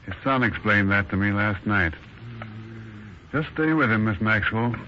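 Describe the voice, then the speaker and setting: An actor playing a calm, grave hospital doctor with measured, formal speech in a 1930s radio drama. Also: male voice, on the low side. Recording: muffled hissy 1930s radio recording.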